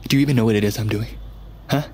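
An actor playing a gruff, protective young American man, troubled and sardonic, in a naturalistic audio drama. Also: on the low side, very fast, mellow. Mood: weary, defensive. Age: young adult.

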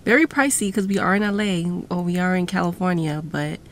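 A woman's voice: fairly low pitch, animated, brisk, heavy background noise. A young woman in her twenties chatting casually to a phone camera from inside a parked car, laid-back and swearing now and then. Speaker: vlogger. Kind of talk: casual vlog talk to camera. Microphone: phone camera at arm's length.

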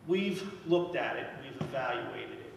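Loud, blunt man in an echoey hall. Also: mid-range voice, expressive, measured pace, gravelly, background noise. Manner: loud, direct, plain-spoken. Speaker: engineer speaking at a town hall meeting. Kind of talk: public presentation at a podium. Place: large school auditorium. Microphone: podium microphone. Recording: echoey hall, heard from far off.

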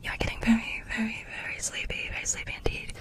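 A woman doing ASMR personal attention role-play, repeating words and giving short instructions. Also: quick pace. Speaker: ASMR performer.

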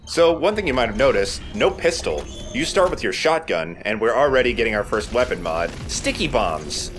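Male Let's Play host: conversational explainer, animated delivery, mid-range, some background game noise. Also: mid-range voice, normal speed. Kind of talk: casual video game commentary. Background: video game sounds.

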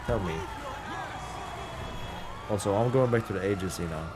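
A man's voice: fairly low pitch, moderate pace, a crowd in the background, noisy background. A young man streaming a game live, reading chat aloud and answering it with incredulous, laughing banter.

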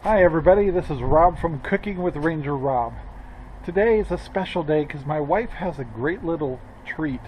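A man's voice: on the high side, expressive, moderate pace, a little mellow, a little hiss or hum, rough recording. An older man casually narrating a home cooking video as host, folksy and chatty with many filler sounds.

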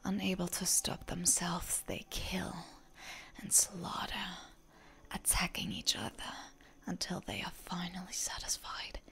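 Woman acting a whispering, creaky seductive vampire. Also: lowish voice, flat delivery, sharp tone, full character voice. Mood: dark, hushed. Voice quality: breathy whisper with heavy creak. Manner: slow, teasing, deliberate. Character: seductive female vampire huntress, teasing and predatory. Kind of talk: whispered roleplay ASMR.

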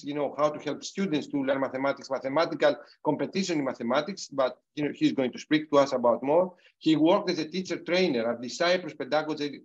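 Hesitant middle-aged man, accented English. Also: fairly high pitch. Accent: non-native English. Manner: hesitant, many fillers and restarts. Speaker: host of an online university webinar. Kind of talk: spoken introduction on a video call. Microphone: computer mic on a video call. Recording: dull, muffled video call audio.

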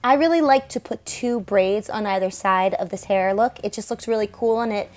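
A woman's voice: medium pitch, normal speed, faint music behind. Chatty young woman narrating a makeup tutorial. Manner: chatty, self-deprecating, laughs often. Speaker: stage performer and makeup vlogger. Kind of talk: casual tutorial voice-over.

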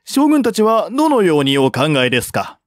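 A man's voice: sits high, wildly swooping pitch, brisk, no echo, studio quality, pitched above the performer's own voice. A man voicing a humble, earnest envoy in formal courtly speech for a historical audiobook. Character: deferential male envoy, courtly and earnestly persuasive. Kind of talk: audiobook reading of historical novel dialogue.

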